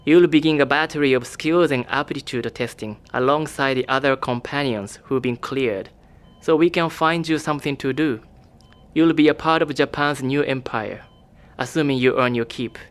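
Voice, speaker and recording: A man playing a cool, evasive male government official who speaks in a calm, formal, measured way, in an audio drama. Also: mid-range voice, natural voice.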